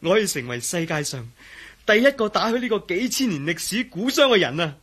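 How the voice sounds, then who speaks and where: An actor in a radio drama playing a troubled, excitable man who tells of his ordeal in Hong Kong Cantonese, with sighs and hesitation. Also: high-pitched, brisk, husky, exaggerated voice. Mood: gleeful, greedy excitement. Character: troubled man named Ah-Yin, turned invisible, recounting his ordeal.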